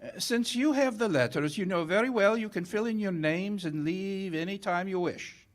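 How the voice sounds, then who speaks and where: An actor playing a sly, corrupt police official, suave and deliberating, in 1940s radio theater style. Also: male voice, high voice, moderate pace, close to an everyday voice. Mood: suspicious.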